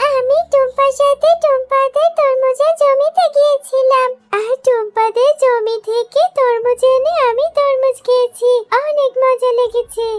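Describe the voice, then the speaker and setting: A woman's voice: very high voice, fairly even intonation, measured pace, few pauses, soft background music, pitched above the performer's own voice. A woman voicing a cheerful, playful little girl fox in a children's cartoon. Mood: happy.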